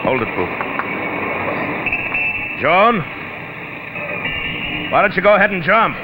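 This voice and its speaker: An actor playing a calm, authoritative doctor who talks steady and commanding. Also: male voice, high voice, slow, over loud music, full character voice. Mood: stern. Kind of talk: 1940s melodrama acting. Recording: noisy old film soundtrack.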